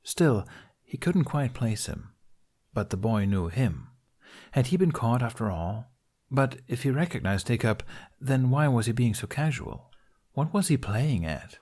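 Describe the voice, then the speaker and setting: A man reading scripted fiction aloud as an expressive podfic narrator. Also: medium pitch, measured pace.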